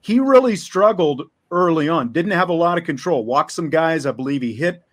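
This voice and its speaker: A man's voice: fairly high pitch, lively intonation, normal speed. A man hosting a sports talk show, interviewing conversationally and recapping games, rambling a little and repeating words mid-phrase.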